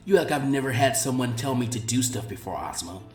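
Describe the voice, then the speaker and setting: A man voicing a lazy, unmotivated teenage boy ninja with a bland, deadpan manner, in a story reading. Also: mid-range voice, quick pace, voice pushed down, natural voice. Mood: smug, weary.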